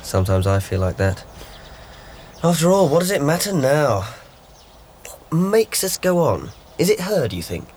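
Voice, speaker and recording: An actor playing a practical, eager young English husband in a radio drama, with a husky voice and a brisk manner. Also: male voice, fairly high pitch, sing-song, darkish tone, a little hiss or hum. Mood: doubtful.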